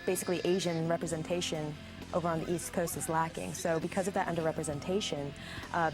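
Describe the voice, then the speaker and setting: A woman guest giving measured, earnest answers in an interview. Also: deep voice, steady tone, music in the background, poor audio.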